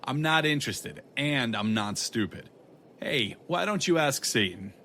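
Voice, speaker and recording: An actor playing a world-weary, sarcastic, blunt private detective in a noir audio drama. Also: male voice, mid-range voice, animated, a little slow, pushed and bright, slight background noise. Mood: scornful. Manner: sardonic, wry.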